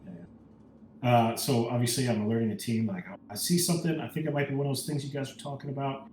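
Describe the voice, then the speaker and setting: A man's voice: medium pitch, fairly even intonation, fast, a little mellow, slight background noise. A man playing a tabletop roleplaying game, casually speaking as his character and thinking aloud hesitantly. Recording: roomy echo, music underneath.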